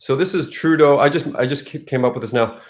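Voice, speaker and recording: Guy hosting his own stream, relaxed and chatty, chuckles, mid-range voice. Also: medium pitch, fast. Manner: loose, chuckling, reads chat aloud. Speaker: livestream host. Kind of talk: casual livestream chat.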